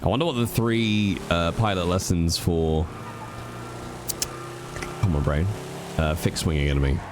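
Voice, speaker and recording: A man's voice: sits low, measured pace, noisy background. A man streaming a roleplay game, chatting to viewers with a stuffy nose from a cold, rambling and swearing freely. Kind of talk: casual chat to viewers while playing.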